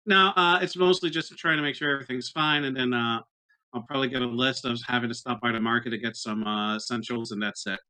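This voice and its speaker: A man's voice: mid-range voice, fairly even intonation, fast talker, pushed and bright, clear, resonant tone, poor audio. A man chatting casually as a player in a tabletop roleplaying game.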